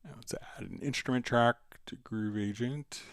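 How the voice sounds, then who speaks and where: A man hosting a live stream, casually explaining music software step by step and answering viewer questions in an easygoing way. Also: mid-range voice, normal speed, creaky, clean tone, dry recording.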